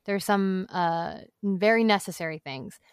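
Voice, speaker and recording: A woman's voice: medium pitch, unhurried, clean tone, dry recording, clean studio sound. A woman from Massachusetts chatting casually as a podcast guest, hesitant with lots of fillers. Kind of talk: casual chat.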